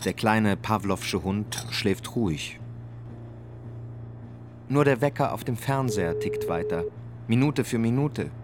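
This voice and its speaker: An actor narrating a German radio drama in the present tense as a dry, detached storyteller. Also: male voice, fairly low pitch, lively intonation, slow, pauses often, slight background noise. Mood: wry, measured.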